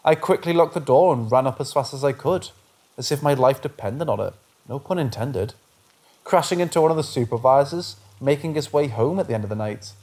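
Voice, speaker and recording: A man narrating reader-submitted paranormal stories in a steady, measured storytelling delivery. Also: medium pitch. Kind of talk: scripted narration of scary stories.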